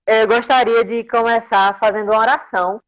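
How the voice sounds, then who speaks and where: A woman's voice: a little high, steady tone, brisk, rough recording. A woman with a strong accent from the rural interior of Paraíba in northeastern Brazil, preaching devotionally and reverently on a livestream as a guest. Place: remote social media livestream.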